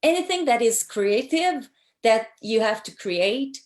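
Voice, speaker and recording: A woman's voice: on the high side, expressive, measured pace, darkish tone. Quebec french-accented woman, middle-aged, lots of ums, talking as a guest. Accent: Québécois French. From Quebec City, Canada. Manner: hesitant, many ums and restarts. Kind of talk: casual interview chat.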